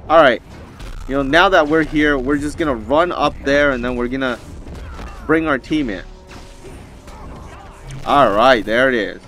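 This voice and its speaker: A man's voice: medium pitch, a little slow, long pauses, a bit bright, faint music behind. A man giving casual, rambling gameplay commentary as the host of a gaming video.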